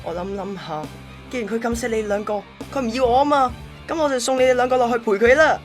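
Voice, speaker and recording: A woman's voice: on the high side, quick pace, faint music behind, lightly acted. Woman playing an obsessive, melodramatic lovesick male courier. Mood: bitter, menacing. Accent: Hong Kong Cantonese. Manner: pleading, melodramatic. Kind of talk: amateur radio drama acting.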